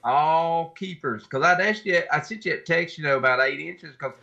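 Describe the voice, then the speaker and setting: A man's voice: a little high, normal speed, vocal fry, bright, forceful tone. A man chatting casually as an interview guest in a plainspoken way, on dull lo-fi audio. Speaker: podcast guest, tournament kayak angler.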